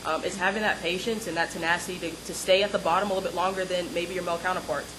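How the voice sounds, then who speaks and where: Young woman panelist, rapid and emphatic, noisy room recording. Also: on the low side, steady tone. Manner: emphatic, projects her voice. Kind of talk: panel discussion. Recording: noisy live event recording.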